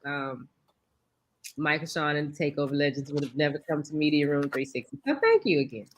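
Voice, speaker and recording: A woman's voice: low-pitched, a little fast, low-quality recording. A woman co-hosting a morning talk show, telling a story and acting out the dialogue. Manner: animated storyteller, acts out dialogue. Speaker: show co-host. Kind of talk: casual storytelling chat.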